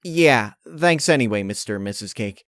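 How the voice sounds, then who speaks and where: A man's voice: fairly low pitch, animated, moderate pace, a bit bright, no echo, studio quality. A man voicing a brash young female pony with an urgent, brusque manner. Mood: hurried.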